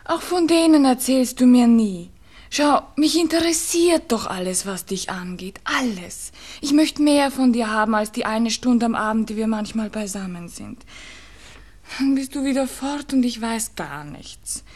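Slow, lovesick young Viennese girl. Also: fairly high pitch, lively intonation, darkish tone. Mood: unhappy longing. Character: lovesick, devoted young Viennese woman, wistful and anxious. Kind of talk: 1960s German-language radio play acting.

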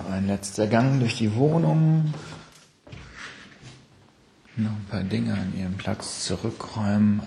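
A man's voice: on the low side, vocal fry. A man reflectively thinking aloud to himself as he talks. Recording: faint background noise.

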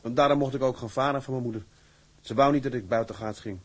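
A man's voice: mid-range voice, steady tone, fast, slightly rough, close to an everyday voice. An actor playing a modest young sailor in his twenties, polite and earnest with his superior. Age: 20s.

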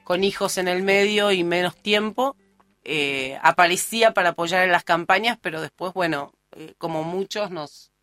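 A woman of about forty with a Rioplatense accent and a bright, forward voice. She talks informally as a radio guest, circling through personal asides, with faint music behind her. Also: lowish voice, moderate pace, no room sound. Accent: Rioplatense Spanish. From born in Uruguay, raised in Argentina. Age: early 40s. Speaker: interview guest, political candidate. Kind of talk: casual conversational interview. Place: radio studio.

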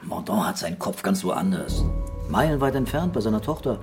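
Laconic, brooding commissioner, deepish voice, music underneath, radio crime drama. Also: male voice, sing-song, a little fast, vocal fry. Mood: defensive, irritated. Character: laconic, stubborn male police commissioner, loyal to colleagues. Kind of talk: German radio play acting. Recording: studio drama with music behind.

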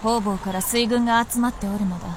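A woman's voice: fairly high pitch, fairly even intonation, quick pace, noisy background, close to an everyday voice. A woman playing an aloof, proud immortal princess in a Japanese period radio drama, with archaic, composed, lordly speech. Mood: composed, instructing.

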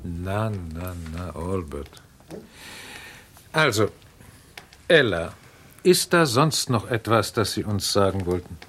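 An actor playing a courteous amateur investigator in a radio murder mystery, with a dry, gently probing manner. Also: male voice, low voice, lively intonation, takes it slowly, long pauses, noisy background. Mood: dry, measured. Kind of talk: German radio crime play acting.

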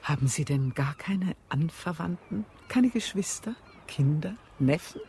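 A coy genteel widow. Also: female voice, deep voice, lively intonation, measured pace, dark tone, slight background noise. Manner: coy, affected politeness. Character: genteel widow flirting at a seaside spa. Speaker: actor in a radio drama. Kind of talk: radio play acting.

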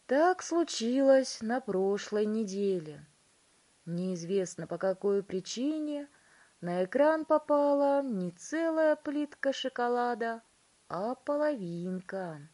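A woman voicing an excitable, theatrical male inventor in a children's story, speaking in emphatic, drawn-out phrases. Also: fairly high pitch, voice pushed up. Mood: ominous, measured.

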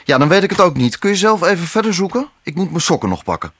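An actor playing an irritable, exasperated boyfriend in a hurry, in a radio drama. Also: male voice, high voice, sing-song, quick pace, fairly bright tone, slight background noise. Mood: hurried, impatient. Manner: snappy, exasperated. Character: impatient, irritable boyfriend rushing to pack for a trip.

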